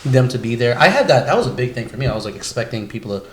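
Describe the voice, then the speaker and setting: A man's voice: medium pitch, very animated, rapid-fire. A man hosting a casual podcast chat, effusive and repetitive, with plenty of slang and swearing. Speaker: podcast host. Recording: echoey room, clear background noise.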